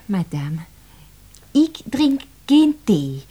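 An actress playing a proud, stubborn young French secretary in a radio play, speaking halting phrases with a French accent and French words mixed in. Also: female voice, mid-range voice, big pitch swings, dark tone, breathy edge, heavily acted. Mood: indignant, pleading. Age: young adult.

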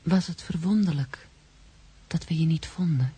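A very breathy, mellow female voice, as a shaken but resolute young woman in a radio drama. She speaks softly and confidingly, often close to a hush. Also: deep voice, moderate pace. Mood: wondering. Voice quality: breathy, soft, dark. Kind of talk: literary radio drama narration.

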